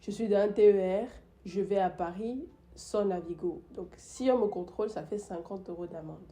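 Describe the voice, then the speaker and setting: A woman's voice: mid-range voice, moderate pace, mellow. Chatty young woman with a flu voice. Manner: chatty, with many fillers and restarts. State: sick with the flu. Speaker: YouTuber. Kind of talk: casual storytime vlog.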